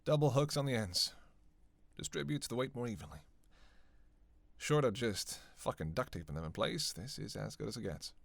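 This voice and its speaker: A man's voice: mid-range voice, lively intonation, normal speed, vocal fry, dry recording. A man playing a sardonic, flirtatious male vampire in a roleplay audio drama, with a teasing, playful and intimate manner. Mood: grumbling, casual. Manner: teasing, foul-mouthed, playful, intimate delivery with sighs. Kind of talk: scripted roleplay audio drama addressed to listener.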